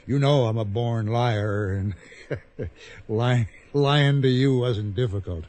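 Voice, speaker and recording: A man's voice: mid-range voice, darkish tone, lightly acted. An actor playing a smug, cruel villain in a radio drama, mockingly polite and condescending as he drawls his lines. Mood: smug menace. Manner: slow, drawling, chuckling condescension.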